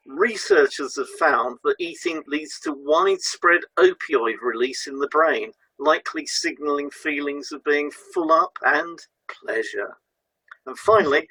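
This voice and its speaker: A man co-presenting a scripted educational lesson, reading in a measured, formal way. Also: fairly high pitch, pushed and bright, breathy edge, no echo, low-quality recording.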